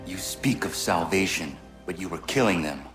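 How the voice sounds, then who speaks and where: A righteous assassin in a video game drama. His lowish voice is solemn and accusing, ringing with echo over faint music. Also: lively intonation, moderate pace, fairly bright tone. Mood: grave reproach. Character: grave, righteous young assassin.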